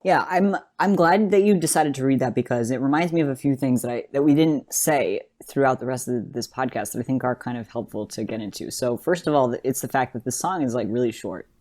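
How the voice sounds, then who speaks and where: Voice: mid-range voice, a little fast, few pauses, darkish tone. A podcast co-host talking through ideas in a casual, analytical way, earnest and rambling with lots of "you know" and "like".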